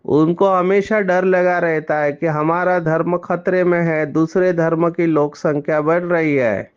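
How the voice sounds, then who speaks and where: A man delivers a spiritual discourse at a measured, very slow pace. His voice sits a little high for a man and rings smooth and clear. The recording sounds slightly muffled. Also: fairly even intonation. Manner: slow, explanatory, mixing in English words. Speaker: spiritual teacher.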